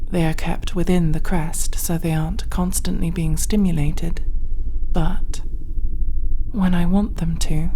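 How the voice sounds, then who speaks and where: A woman playing a formal, curious, earnest alien crewmate in an intimate sci-fi ASMR roleplay, with precise, literal wording and a soft, breathy voice often close to a whisper. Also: fairly low pitch, slight background noise, close to an everyday voice. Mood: earnest, careful.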